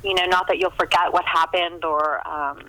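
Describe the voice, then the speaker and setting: Bright-voiced woman chatting in an interview. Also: lowish voice, fairly even intonation, moderate pace, slight background noise, rough recording. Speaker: author, interview guest. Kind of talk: casual interview chat about writing.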